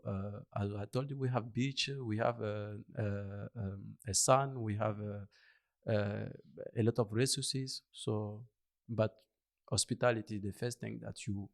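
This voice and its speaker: A man from Ivory Coast with an Ivorian French accent, a native Bambara speaker, answering interview questions in halting English and searching for words. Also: on the low side, creaky. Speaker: interview guest. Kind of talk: conversational interview.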